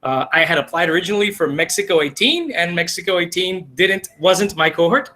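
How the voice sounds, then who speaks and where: Guy on a webinar, bright voice, upbeat and emphatic. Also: sits high, brisk. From Colombia. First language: Spanish. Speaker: webinar panelist, technology integrator. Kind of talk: casual video call chat. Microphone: video call.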